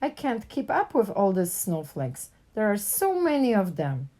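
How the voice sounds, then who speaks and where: A woman's voice: medium pitch, huge pitch swings, measured pace, warm tone, pitched above the performer's own voice. Woman acting a flustered, emphatic lady neighbor. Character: harried, bewildered woman neighbor shoveling snow.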